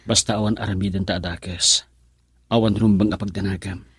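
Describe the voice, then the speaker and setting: A man's voice: deep voice, steady tone, brisk, breathy edge, dry recording, studio quality. An actor in an Ilocano radio drama playing an earnest, reassuring young man running away with his sweetheart.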